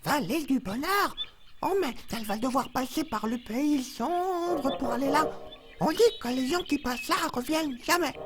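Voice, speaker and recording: A woman playing an excitable, imaginative young male monkey in a children's cartoon, rambling and repeating words. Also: sits high, moderate pace, very noisy, close to an everyday voice. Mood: alarmed.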